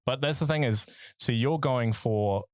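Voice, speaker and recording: A man's voice: medium pitch, measured pace, darkish tone, dry recording, studio quality. A man with a New Zealand accent co-hosting a casual music podcast, rambling and swearing with lots of fillers and restarts. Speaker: podcast co-host.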